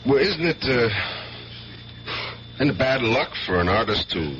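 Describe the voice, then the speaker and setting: A man playing a hesitant, uneasy police detective in a 1970s radio drama, speaking haltingly. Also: mid-range voice, sing-song, a bit bright, slight background noise. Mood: uneasy, reluctant. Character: gruff, uneasy police detective, bride's brother. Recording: dull 1970s radio recording with music.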